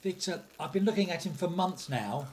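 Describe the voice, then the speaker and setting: An actor playing a worried, reluctant scientist's assistant in a radio play, hesitant but dutiful. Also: male voice, high voice, lively intonation, moderate pace, a little hiss or hum. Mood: doubtful, weary. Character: anxious, reluctant male lab assistant to a scientist.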